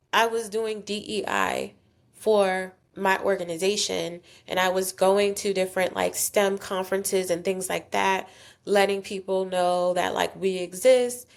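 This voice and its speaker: A woman in her thirties from South Jersey, a guest talking candidly about her career in a casual podcast interview, often acting out what others said to her. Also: medium pitch. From South Jersey, USA. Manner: candid and chatty, acts out quoted voices. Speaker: guest, nonprofit founder.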